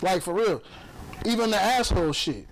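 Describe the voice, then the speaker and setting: A young man with a Chicago accent talks on his own podcast, his voice sitting fairly high. He boasts and swears freely, filling gaps with "you know what I'm saying." Faint noise and clicks sit under the recording. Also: lively intonation, normal speed, poor audio. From south side of Chicago. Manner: loose, profane, boastful, many fillers. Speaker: podcast host. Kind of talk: casual chat.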